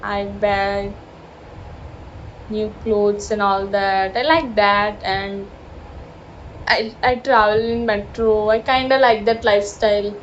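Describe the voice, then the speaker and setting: A woman's voice: medium pitch, steady tone, slow pace, long pauses. A young woman from South Gujarat with a Gujarati-influenced Indian English accent, chatting in a casual, rambling vlog with many fillers and apologies. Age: young adult. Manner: rambling, self-deprecating, apologetic, frequent fillers. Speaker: vlogger. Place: outdoors near busy traffic with honking. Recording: dull lo-fi phone audio with faint street noise.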